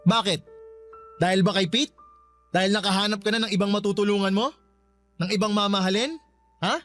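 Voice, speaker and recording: A woman's voice: mid-range voice, brisk, soft background music, natural voice. A woman acting a possessive, insistent young best friend in an argumentative, emotional radio drama style. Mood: jealous, demanding.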